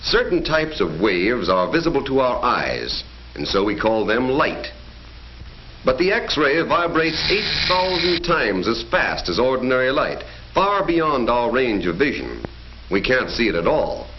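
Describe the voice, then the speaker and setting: A man's voice: medium pitch, sing-song, unhurried, a little hiss or hum. Emphatic 1940s newsreel-style male narrator. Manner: emphatic, declamatory announcer delivery. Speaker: film narrator. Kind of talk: scripted 1940s educational film narration. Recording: dull 1940s film soundtrack with faint music.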